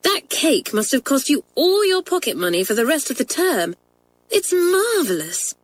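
A woman's voice: medium pitch, big pitch swings, moderate pace, voice pushed up. A woman voicing a bubbly, giggly schoolgirl who talks in gushing exclamations. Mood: delighted.

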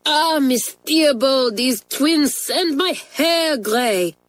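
An excitable French schoolmistress in a children's storybook, as a woman narrator plays her. She has a French accent and a raised pitch, and she exclaims indignantly, stretching her words for drama. The sound is close and dry. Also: no room sound, heavily acted. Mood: outraged. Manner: dramatic, exclaiming, drawn-out delivery.

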